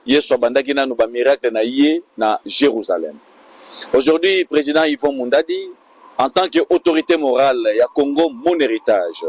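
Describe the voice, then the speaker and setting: A man's voice: mid-range voice, darkish tone. A man interviewing a guest, mixing short acknowledgements with long probing questions.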